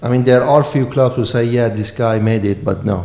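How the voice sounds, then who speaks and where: Iranian-accented male coach, blunt and emphatic, mid-pitched, echoey room. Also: fairly even intonation, brisk, dark tone. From Iran. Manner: frank and emphatic. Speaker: football coach. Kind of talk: podcast interview.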